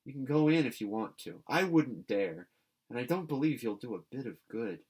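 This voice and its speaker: A man reading a storybook as an excitable, timid young girl, exclamatory and dramatic. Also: mid-range voice, normal speed, husky. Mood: wary.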